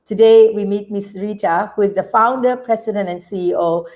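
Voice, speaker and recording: Woman host, eager and upbeat, medium pitch. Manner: excited, fast and bubbly. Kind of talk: energetic interview hosting.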